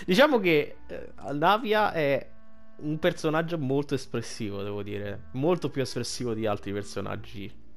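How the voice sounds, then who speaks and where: A man's voice: mid-range voice, moderate pace, creaky, faint music behind. A man giving casual, rambling, sweary game commentary as a streamer. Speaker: gaming streamer.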